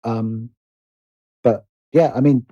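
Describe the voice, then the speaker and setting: A man's voice: mid-range voice, steady tone, slow pace, slightly rough, dry recording, highs cut off. A British man speaking as a podcast guest in casual conversation, hesitant and thoughtful as he circles around his points. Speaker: podcast guest, writer and producer.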